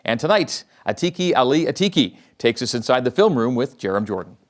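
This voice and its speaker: Smooth sports show host. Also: male voice, mid-range voice, very animated, a little fast. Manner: smooth, scripted lead-ins. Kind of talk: broadcast sports interview and narration.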